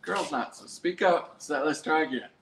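Adult host prompting with quick questions. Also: medium pitch. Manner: prompting, asks short leading questions. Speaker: host interviewing two children. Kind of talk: casual home-made show chat. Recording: roomy echo, faint background hiss.

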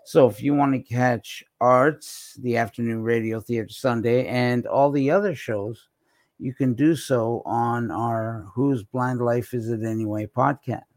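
A man's voice: medium pitch, fairly even intonation, unhurried, darkish tone. Man podcast host, takes his time talking, no room echo, casual plugs.

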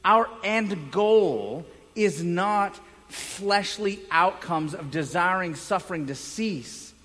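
A man preaching a sermon as a pastor, speaking emphatically with dramatic pauses and swings between loud and hushed delivery. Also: high-pitched, expressive. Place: large indoor room, preaching to a congregation. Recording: echoey hall with long reverb.

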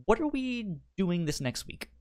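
A man's voice: high voice, sing-song, measured pace, a little mellow. A man co-hosting a podcast in casual chat, who stammers and repeats the first sounds of words. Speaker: podcast co-host.

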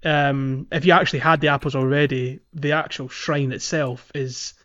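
A man co-hosting a video game podcast, chatting casually and explaining things in a halting way. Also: mid-range voice, steady tone, fairly bright tone, lo-fi sound. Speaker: podcast co-host.

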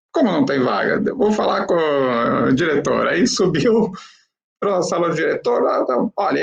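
A man's voice: a little high, vocal fry, muffled recording. A man with a Brazilian Portuguese accent, speaking conversationally as an interview guest and often checking in with short tag questions. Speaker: interview guest, company professional.

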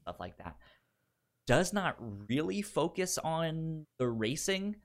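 A man's voice: on the high side, big pitch swings, takes it slowly. A man chatting casually as a podcast host giving recommendations, stuttering and repeating syllables and words as he goes. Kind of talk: casual film chat.

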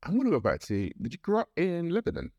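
A man's voice: fairly high pitch, wildly swooping pitch, quick pace, mellow, husky, dry recording, lo-fi sound. A man hosting a podcast, welcoming his guest with enthusiasm. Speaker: podcast host.

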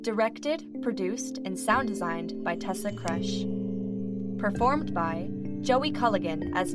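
A woman with an American accent reading scripted podcast credits as an announcer. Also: mid-range voice. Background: loud guitar music.

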